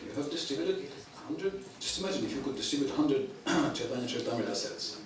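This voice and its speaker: A man giving a devotional lecture in English, which is not his first language, speaking haltingly with frequent throat clearing. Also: mid-range voice, lively intonation, a little hiss or hum. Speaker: visiting preacher. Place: temple hall. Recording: echoey temple hall, mic at a distance, audience heard.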